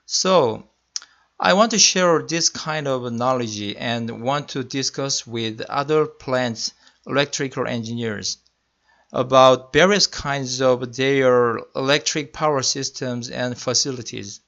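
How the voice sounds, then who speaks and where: A man with a Korean accent, a senior electrical engineering manager, giving a slide-presentation lecture in careful, deliberate non-native English. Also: medium pitch, gravelly, fairly bright tone. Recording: muffled lo-fi voice-over.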